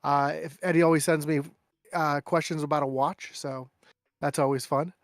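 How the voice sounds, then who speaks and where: A fairly high-voiced man tells workplace stories on a podcast, full of ums and uhs, now and then jumping up in pitch to imitate someone. His voice sits close and dry, with no room sound around it. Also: steady tone, normal speed, dry recording. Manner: chatty storyteller who mimics people in raised voices. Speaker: guest. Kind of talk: casual podcast chat.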